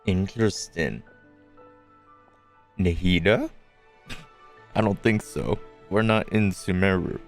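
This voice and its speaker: A man's voice: low voice, takes it slowly, long pauses, soft background music. A man giving casual, laid-back gameplay commentary, musing aloud as he reacts. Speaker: game streamer.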